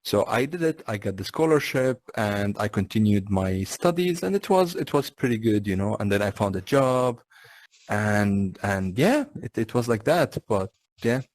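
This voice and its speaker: A young man with a Syrian Arabic accent, an Arabic speaker, talking about himself in a relaxed, casual way with lots of "you know.". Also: fairly low pitch, normal speed. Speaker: guest. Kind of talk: casual conversation in VR.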